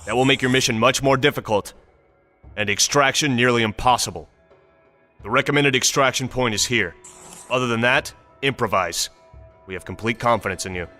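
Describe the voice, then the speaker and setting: Forceful American army briefing officer played by a man. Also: mid-range voice, normal speed, long pauses, soft background music, lightly acted. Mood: stern, businesslike. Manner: clipped, authoritative, commanding. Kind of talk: scripted video game mission briefing.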